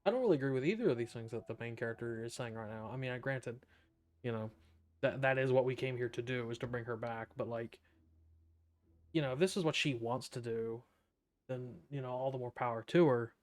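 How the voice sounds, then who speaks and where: A man's voice: mid-range voice, a little fast. A man streaming a game, giving casual live commentary in a wry, offhand way. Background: game music.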